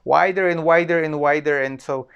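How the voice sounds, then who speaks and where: A man's voice: fairly high pitch, steady tone, moderate pace, gravelly, fairly bright tone, clean tone, lo-fi sound. A man with a Filipino accent giving an informal explanatory history lecture, repeating phrases for emphasis and often checking in with "okay". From Philippines.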